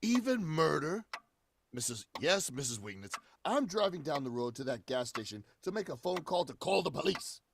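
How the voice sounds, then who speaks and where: A man's voice: on the high side, big pitch swings, moderate pace, vocal fry. An actor playing a suspicious, accusing man in 1940s radio horror style, firing pointed, skeptical questions. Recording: loud background noise, insect-like ambience.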